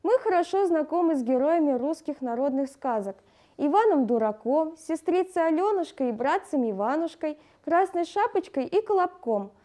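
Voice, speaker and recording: A woman with a high-pitched voice reads scripted educational narration. Her tone is soft-edged and mellow, and she speaks like a teacher explaining material to pupils. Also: expressive, normal speed. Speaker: language lesson presenter.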